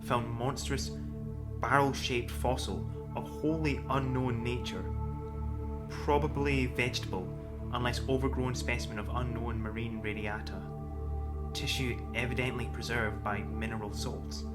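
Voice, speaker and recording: An imperious male scientist played by an actor in a 1930s-style radio drama, arrogant and excitable. He speaks at a middling pitch, with music clearly playing behind him. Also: measured pace, close to an everyday voice. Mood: grave, intent. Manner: pompous, imperious. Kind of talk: 1930s radio drama acting, dictated telegraphic reports.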